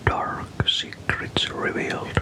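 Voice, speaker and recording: Male ASMR storyteller, fully whispered delivery, soft and breathy, calm deliberate narration. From Finland.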